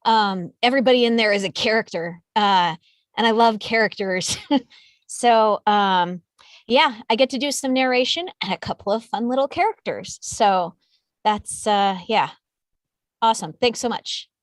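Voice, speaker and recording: A woman's voice: medium pitch, moderate pace, no echo, studio quality. A woman introducing herself casually as a performer. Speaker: table read performer.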